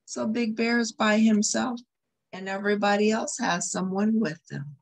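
A woman's voice: mid-range voice, moderate pace, gravelly, rough recording. A woman reading a picture book aloud as a storyteller, expressively, with explanatory asides to young listeners.

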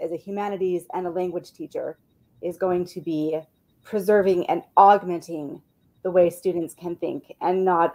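A woman's voice: medium pitch, a little mellow. A woman teacher speaking emphatically and articulately in a video-call panel discussion. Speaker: humanities and language teacher. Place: living room, on a group video call.

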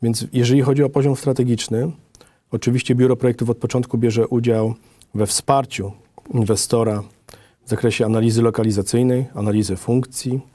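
A middle-aged man giving a formal, methodical slide presentation in a measured way. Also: medium pitch, steady tone, warm tone, dry recording. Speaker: design office director presenting.

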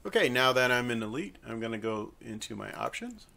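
A man giving an unscripted screen-recorded software tutorial, hesitating often as he explains each step. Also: mid-range voice, big pitch swings, a little fast, gravelly.

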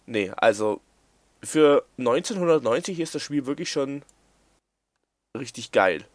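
A young man doing casual let's-play commentary, playful and quick to giggle, reading game lines aloud in silly voices. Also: mid-range voice, lively intonation, no echo. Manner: giggly, playful, breaks into laughter. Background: faint game music.

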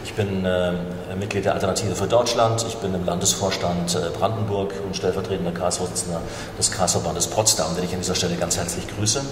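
A man's voice: deep voice, fairly even intonation, brisk. A middle-aged man, a politician on a panel, introducing himself formally. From Germany. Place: large conference room indoors. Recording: echoey hall with clear background noise.

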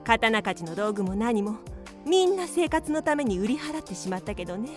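A woman acts a worried mother and loyal swordsmith's wife. Her voice sits fairly high, earnest and emotive, with music faintly behind, as in a radio play. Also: big pitch swings, moderate pace. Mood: grave. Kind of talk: radio drama acting.

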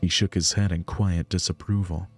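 A man's voice: low-pitched, little pitch movement, normal speed, husky. A young man narrating a visual novel as a storyteller. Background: music.

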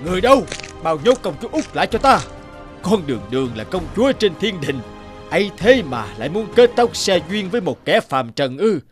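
A man's voice: sits high, sing-song, fairly quick, soft background music. A man voicing an old heavenly emperor in a cartoon, a stern father who speaks in a commanding, emphatic way. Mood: angry, imperious.

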